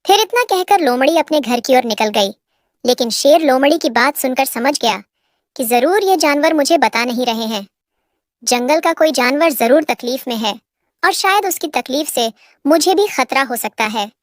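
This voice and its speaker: A woman storyteller with a high voice reads a scripted tale for children. The recording is dry, with little room sound. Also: lively intonation, moderate pace, dry recording.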